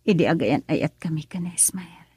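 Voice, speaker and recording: A woman's voice: low-pitched, expressive, moderate pace, a little mellow, slightly rough, dry recording, heavily acted. An actress with an Ilocano accent playing an emotional young married woman in a radio drama. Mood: hushed, reflective.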